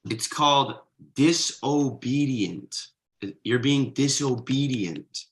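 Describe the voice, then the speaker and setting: A man's voice: medium pitch, slow pace. A man playing an exhausted, easygoing thirty-something slacker dad in a casual, hesitant comedy table read. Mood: gentle, explaining.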